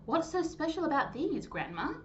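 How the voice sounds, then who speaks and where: Woman as an excitable, high-voiced young schoolboy. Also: moderate pace. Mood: pleading, puzzled. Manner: excitable, earnest, childlike. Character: eager young schoolboy who loves basketball. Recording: dull lo-fi sound, faint noise.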